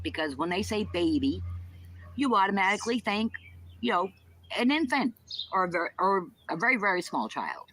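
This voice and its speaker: Woman, slightly low pitch, blunt, confrontational, casual chat, faint background noise, chirping birds. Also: a bit bright. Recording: noisy, with birdsong chirping behind.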